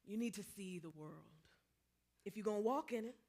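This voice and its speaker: A woman's voice: medium pitch, animated, a little fast, a little mellow, close to an everyday voice. Knowing female spirit, American, played by a woman in a staged reading. Mid-range voice; streetwise, mocking, admonishing, unyielding. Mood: stern, knowing.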